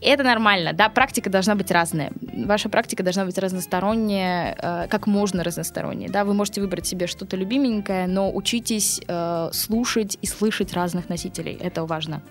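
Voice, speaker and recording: A woman who teaches English, answering interview questions in a relaxed, chatty way, laughing often and filling pauses with hesitation sounds. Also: medium pitch, soft background music. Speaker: English teacher and school co-owner.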